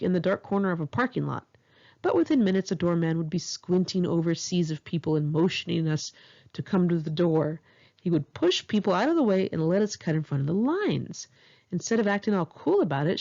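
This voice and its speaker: Female author-narrator, candid and conversational memoir read, lively intonation, gravelly fry, slightly dull home-recorded sound. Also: on the low side, fairly quick. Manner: candid, wry, profane, conversational. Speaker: author reading own memoir. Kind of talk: memoir audiobook narration with casual asides.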